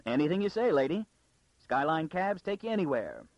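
A man voicing a brisk, obliging male taxi driver in an audiobook, with a clipped manner. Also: fairly high pitch, big pitch swings, a little mellow, dry recording, dull audio, voice pushed up.

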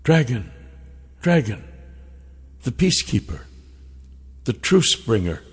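A man's voice: mid-range voice, big pitch swings, slightly rough, long reverb tail, voice pushed up, exaggerated voice. A male narrator voicing an ancient female dragon in the form of a woman, coaxing and silky. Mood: seductive. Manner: silky, coaxing, slow and drawn out. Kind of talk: audiobook character voice.

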